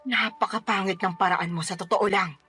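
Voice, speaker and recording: A woman's voice: medium pitch, fairly even intonation, brisk, pushed and bright, slightly rough, faint music behind. An actress playing a stern mother who bluntly scolds her grown daughter in a radio drama. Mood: scolding. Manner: scolding, blunt, later teasing.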